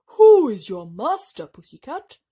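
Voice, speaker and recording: A woman voicing a grand, gracious king in a storybook, loud and stately. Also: sits high, big pitch swings, measured pace, voice pushed up. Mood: gracious, curious.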